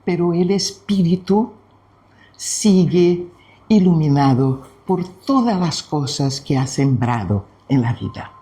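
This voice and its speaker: A man from Brazil whose first language is Portuguese, speaking Spanish with a Brazilian Portuguese accent. He leads a devotional spiritist talk and tells a story in a gentle, unhurried way with frequent pauses. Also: fairly high pitch, lively intonation. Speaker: spiritist study presenter.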